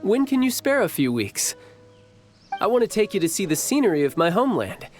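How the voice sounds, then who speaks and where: A man's voice: high voice, very animated, brisk, faint music behind. A man voicing a cocky, friendly young warrior in a video game, with a teasing, confident manner. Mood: fond, eager. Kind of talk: video game character voice lines.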